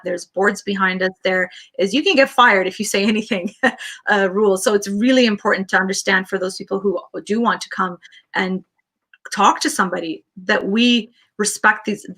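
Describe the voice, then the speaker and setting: A Canadian woman counselor speaks with a bright, forward tone. She tells her story earnestly and quickly, often restarting her sentences as she goes. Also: mid-range voice, steady tone. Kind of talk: interview answers, personal storytelling.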